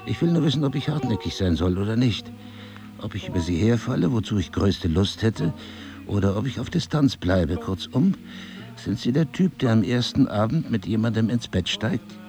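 Low, gravelly, insistent husband. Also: male voice, animated, normal speed, music in the background. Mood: flirtatious, urgent. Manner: insistent, questioning, theatrically eloquent. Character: intense, probing crime-writer husband with memory loss. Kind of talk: intimate two-person audio drama acting.

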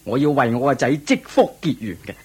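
Husky, animated, regal king played by a man. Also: medium pitch, brisk, faint music behind. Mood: proud, commanding. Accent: Hong Kong Cantonese. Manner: theatrical, regal, expressive. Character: proud, doting ancient Indian king, anxious about his heir. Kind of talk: Cantonese Buddhist radio drama acting.

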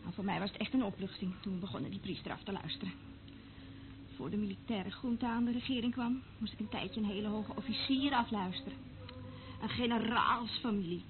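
A woman's voice: mid-range voice, a little fast, close to an everyday voice. An actress playing a brash, flippant woman who works as an eavesdropper for a military junta in a Dutch radio drama, blunt and mocking. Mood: scornful. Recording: tinny, narrow-band old radio recording, faint noise.

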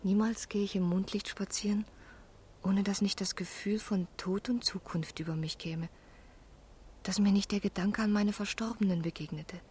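An actress playing a tender, melancholy young woman of the 1770s in a literary radio drama, with a very breathy, near-whispered voice. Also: mid-range voice, steady tone, moderate pace, a little mellow. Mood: hushed, melancholy.

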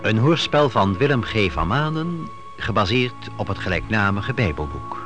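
A man's voice: on the low side, big pitch swings, moderate pace. A man announcing a radio play in a scripted style. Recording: old radio broadcast with music.

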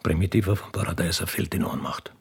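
A man with an Austrian accent reads stage directions in a dramatic reading, with a husky, gravelly voice and a dry, deliberate delivery. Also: sits low. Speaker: reader performing a play with all its parts.

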